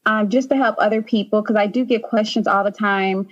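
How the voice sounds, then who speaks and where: A woman's voice: mid-range voice, fairly even intonation, fairly quick, lo-fi sound. A young woman from Haiti, a nurse practitioner, chatting as a podcast guest with many "um"s and "like"s. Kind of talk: casual interview.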